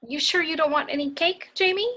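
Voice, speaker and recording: A woman's voice: high voice, a little fast, bright, forceful tone. A woman playing a chirpy, smothering wife in an amateur script read. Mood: coaxing. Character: chirpy, smothering wife eager for a happy family.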